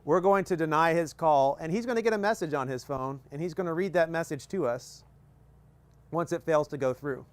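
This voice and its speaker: A man's voice: fairly high pitch, a little fast. Casual joking man presenting a demo. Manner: casual, joking, explains step by step with many fillers. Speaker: presenter at a live equipment demonstration. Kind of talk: live technical demo talk. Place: outdoor tent at a desert field demo.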